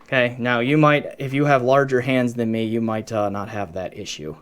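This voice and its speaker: A man's voice: mid-range voice, fairly even intonation, moderate pace, clean tone. Casual man reviewing gadgets. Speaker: product reviewer. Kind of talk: casual unscripted audio gear review.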